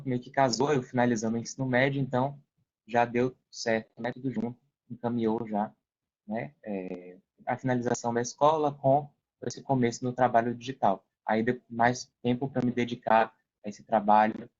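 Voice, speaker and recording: Young Brazilian man, mid-pitched, lo-fi audio. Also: a little mellow. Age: young adult. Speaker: podcast guest. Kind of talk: casual personal recounting. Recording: dull lo-fi sound.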